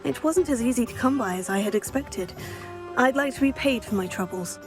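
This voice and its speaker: An actress playing a composed teenage witch student in a video game, speaking in a polite, measured way. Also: on the high side, music in the background, lightly acted. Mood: polite, businesslike. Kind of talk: video game character acting.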